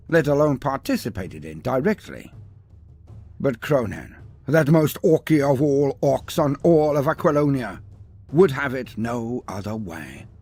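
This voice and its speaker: A man's voice: mid-range voice, a little mellow. A man narrating a scripted mock-epic fantasy tale in a grandiose, theatrical manner with ornate delivery. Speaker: storyteller, narrator.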